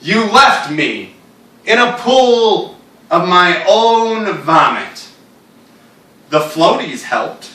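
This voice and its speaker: Guy doing a deadpan live reading, bright sharp voice, echoey room far from the mic. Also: high voice, very slow, long pauses. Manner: deadpan, wry, serious delivery of absurd lines. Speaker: writer reading his own work aloud. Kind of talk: live literary reading of stories and poems. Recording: roomy echo, distant mic.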